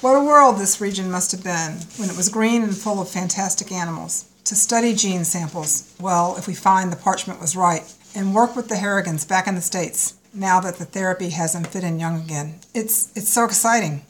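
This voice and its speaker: A woman voicing an eager young geneticist and wife, earnest and gently pleading. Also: on the low side, normal speed, few pauses, slight background noise, natural voice, rough recording. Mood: excited.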